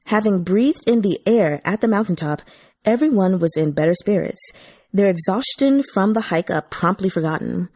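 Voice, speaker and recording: A woman's voice: medium pitch, lively intonation, moderate pace, warm tone, no echo. A woman reading scripted fiction as an audiobook narrator.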